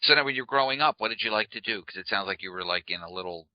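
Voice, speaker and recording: Host: man, very low voice, relaxed and rambling, dry slightly muffled recording. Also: rapid-fire, dry recording. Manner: relaxed, rambling asides, some slips. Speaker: interview host. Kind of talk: casual interview chat.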